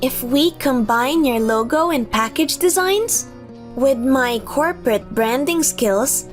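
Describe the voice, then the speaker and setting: A woman acts as an earnest young businesswoman in a romcom manga dub. Her voice is high, and she speaks emphatically and with loyal conviction. Music plays clearly behind her. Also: expressive, slow. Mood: earnest, admiring. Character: Noah, young businesswoman, earnest, indignant, loyal twin sister. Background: background music.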